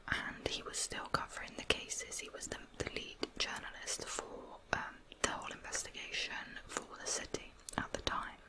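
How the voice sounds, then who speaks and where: A woman telling a true crime story as ASMR, in a soft, unvoiced whisper throughout. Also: low-quality recording.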